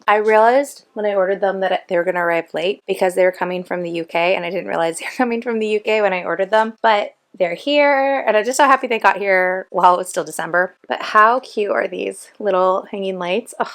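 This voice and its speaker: Chatty woman with a gravelly edge. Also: medium pitch. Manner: chatty, upbeat, rambling with fillers. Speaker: book vlogger. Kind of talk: casual vlog chat.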